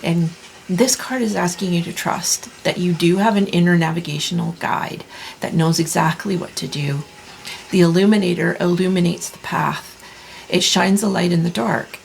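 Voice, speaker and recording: A woman in her thirties giving a tarot reading in a soft, reassuring way, clearing her throat as she loses her voice. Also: fairly low pitch, slight background noise, low-quality recording. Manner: soft, slow, reassuring delivery with many restarts. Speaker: tarot reader. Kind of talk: pick-a-card tarot reading.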